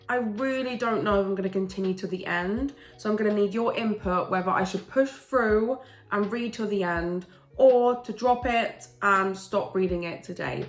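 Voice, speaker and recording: A woman's voice: medium pitch, echoey room. Bunged-up woman gushing about books. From England. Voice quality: stuffy, blocked-nose voice. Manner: gushing, enthusiastic, chatty. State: congested from a cold. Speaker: book vlogger. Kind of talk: casual book review chat.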